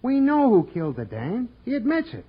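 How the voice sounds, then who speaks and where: An actor playing an impatient, stubborn American police sergeant in a 1940s radio crime drama, with slangy, sarcastic talk. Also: male voice, extremely high pitch, wildly swooping pitch, a little fast, breathy edge, full character voice. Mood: sarcastic, exasperated. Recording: dull, lo-fi old radio recording.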